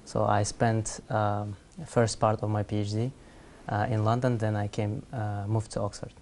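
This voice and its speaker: A young man in his twenties from Kazakhstan, a studio interview guest who answers in a soft, measured, hesitant way. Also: on the low side, flat delivery. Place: TV studio set.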